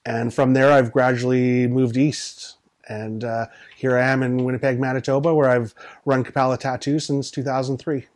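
A man, giving earnest, measured answers in an interview as a tattoo artist and event organizer. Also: medium pitch, level tone, darkish tone. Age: 40s. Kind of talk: interview answers, reflective conversation. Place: small indoor tattoo studio room.

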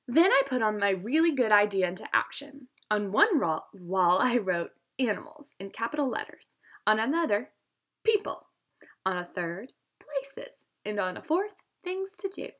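A woman reading a storybook aloud for children in an expressive, instructional teacher's manner. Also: mid-range voice, moderate pace.

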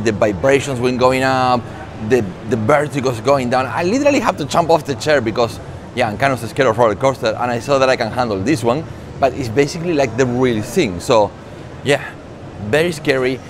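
Guy in his 40s doing casual tech vlog review, into it, mid pitch, loud-ish expo hall noise behind him. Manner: enthusiastic, conversational. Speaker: tech vlogger and presenter. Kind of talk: casual product review to camera. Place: large indoor trade show hall. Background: expo hall ambience.

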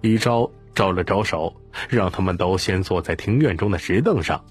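A man's voice: low voice, moderate pace, breathy edge, faint music behind. A man narrating an audiobook as dramatic scripted storytelling.

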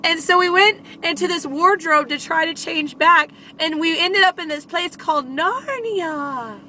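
A woman's voice: very high-pitched, unhurried, pushed and bright. A young woman playfully improvising a bedtime story in a silly, giggly way, recorded inside a car. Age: young adult. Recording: noisy car interior, vehicle ambience.

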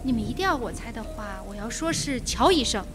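High-voiced, nervy young woman acted by a woman. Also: animated, fairly quick, over music, natural voice. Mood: anxious, polite. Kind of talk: Mandarin radio drama acting.

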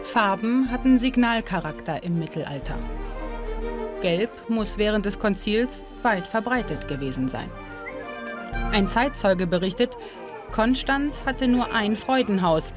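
A woman giving scripted documentary narration in a measured, even storytelling delivery. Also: mid-range voice. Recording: narration over loud music.

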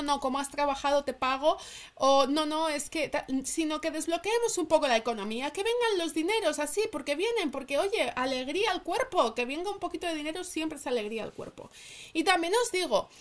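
A woman with a Spanish accent from Spain, talking informally and emphatically to her followers as a channel host, often asking and answering her own questions. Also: high-pitched. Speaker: tarot reader and channel host. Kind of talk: casual chatty announcement to followers. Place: indoors at a table.

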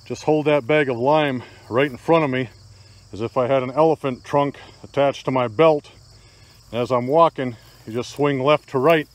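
A man's voice: mid-range voice, normal speed. Older man explaining outdoors among crickets. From Michigan, USA. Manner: plain, practical, matter-of-fact explaining. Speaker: DIY hunting vlogger. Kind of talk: casual how-to narration. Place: outdoors in a rural field. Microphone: phone camera held while walking. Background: crickets and insects.

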